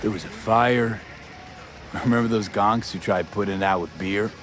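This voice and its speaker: An actor playing a wry, street-smart young mercenary in a video game, talking in a casual, clipped way. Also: male voice, on the low side, normal speed, fairly bright tone, soft background music, natural voice. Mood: casual, confident.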